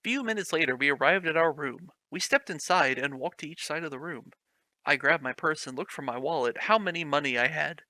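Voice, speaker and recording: A man with a crisp, bright, fairly high voice reads awkward fiction aloud in a mock-serious tone. He is recorded close and dry, with no room sound. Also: a little fast, dry recording, poor audio. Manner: reads stiff prose with mock drama, breaks into laughter. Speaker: host reading fan fiction aloud. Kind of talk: comedic dramatic reading with commentary.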